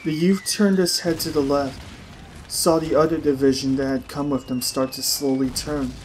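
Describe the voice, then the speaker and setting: A man's voice: on the high side, fairly even intonation, moderate pace, a little hiss or hum, low-quality recording. A man reading a story aloud as an audiobook narrator, in dramatic scripted narration.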